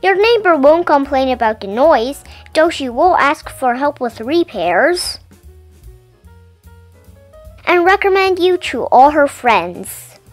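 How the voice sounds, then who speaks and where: A woman hosting her own channel, reading a children's picture book aloud with gentle, clear enunciation and playful emphasis. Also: sits high, sing-song, takes it slowly, dry recording, soft background music. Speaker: storybook reader and channel host.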